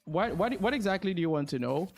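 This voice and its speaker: Man, higher pitch, casual livestream chat, gamer, thinking aloud, repetitive phrasing. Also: lively intonation, quick pace, gravelly. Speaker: game livestreamer.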